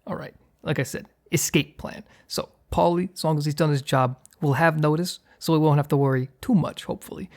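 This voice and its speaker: A man playing a gruff, short-tempered mob boss in an ASMR roleplay, with a gravelly, husky voice. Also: a little high, steady tone, fairly quick, few pauses, lightly acted. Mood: commanding, businesslike. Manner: commanding street-tough slang with crew, flustered hushed stammer with partner. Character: gruff, short-tempered male mob boss hiding his work from partner.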